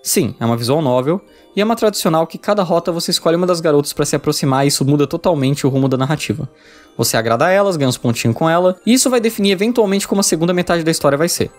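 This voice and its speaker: Higher-pitched Brazilian man narrating briskly over music. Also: expressive. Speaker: video essay narrator, game reviewer. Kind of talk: scripted narration with casual slang.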